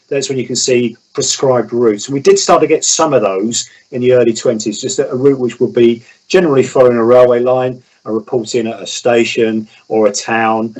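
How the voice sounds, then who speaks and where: A man with a husky, slightly rough voice at a middling pitch. He explains things informally, as an expert guest in conversation. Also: darkish tone, rough recording. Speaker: airline pilot, interview guest.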